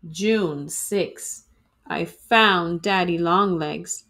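A woman reading a children's storybook aloud in an expressive, dramatic way, like a teacher to students. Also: fairly low pitch, very slow.

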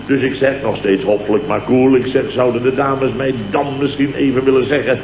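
A man's voice: medium pitch, fairly even intonation, brisk, narrow, tinny audio, close to an everyday voice. Indignant Dutch male boss played by a man, mock-dramatic and clipped, vintage radio comedy, heavy hiss and hum in a roomy recording. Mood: mock-dignified. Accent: Dutch, from the Netherlands. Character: blustering, indignant male company boss telling tales. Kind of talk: 1960s-70s radio comedy acting.

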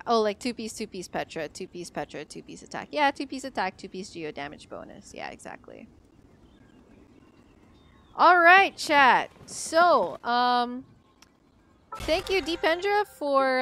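A woman's voice: fairly high pitch, fairly bright tone. A young adult woman from the Philippines chatting casually to viewers on a game livestream in a rambling, chatty way. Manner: chatty, rambling, filler-heavy with frequent "like". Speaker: game streamer.

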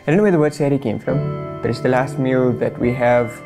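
A man's voice: mid-range voice, fairly even intonation, fairly quick, over music. A man casually explaining things to the camera in an easygoing way, with some fillers.

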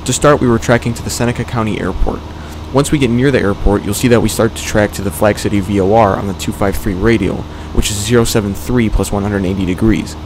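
A man's voice: fairly low pitch, steady tone, quick pace, noisy background. A young man, a student pilot, giving an explanatory voice-over for a flying video. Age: young adult. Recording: voice over background music.

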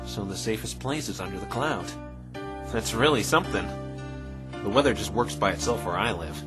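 A man voicing an earnest, bemused defense lawyer in a fan dub, asking measured questions with dry puzzlement. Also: fairly low pitch, expressive, close to an everyday voice. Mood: bemused, questioning. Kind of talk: fan dub voice acting of a visual novel. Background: music.

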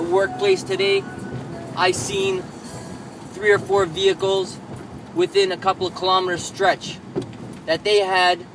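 A man's voice: high-pitched, poor audio. A man in his thirties ranting indignantly while driving, filmed on a dashboard camera inside his car. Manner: indignant, lecturing rant. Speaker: vlogger. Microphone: camera mounted on the dashboard.

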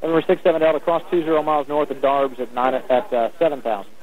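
A man's voice: a little high, flat delivery, quick pace. A man working as an air traffic controller, giving clipped procedural instructions over the radio. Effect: radio transmission. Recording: narrow-band, noisy air traffic radio.

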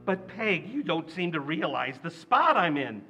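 A man's voice: fairly high pitch, measured pace, soft background music, exaggerated voice. An actor playing a blustering, scheming working-class father in a recreated old-time radio comedy. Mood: complaining. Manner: blustery, excitable.

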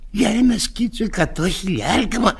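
An actor playing a scruffy, quick-witted tramp who is a painter, wry and comic, in an old radio play. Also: male voice, sits high, expressive, gravelly, husky, heavily acted. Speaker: vagrant painter. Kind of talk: Greek radio theatre acting.

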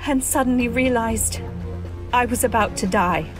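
A woman playing an anxious, earnest wife, narrating a radio drama in a literary, deliberate way. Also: fairly high pitch, over music, close to an everyday voice. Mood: tense, reflective. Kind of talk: dramatic scripted narration, old-time radio.

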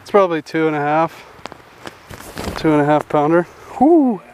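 Excited young man fishing at a pond. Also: fairly high pitch, moderate pace, a little hiss or hum. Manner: excited, chatty, says "dude" and "guys" a lot. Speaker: fishing channel host. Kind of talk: casual fishing vlog narration. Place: outdoors at a rural pond. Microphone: head-mounted action camera.

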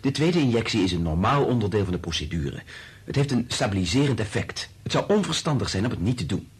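An actor in a radio drama playing a concerned, rational medical researcher with a husky, breathy voice. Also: male voice, fairly low pitch, very animated, fast talker, exaggerated voice. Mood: puzzled, insistent.

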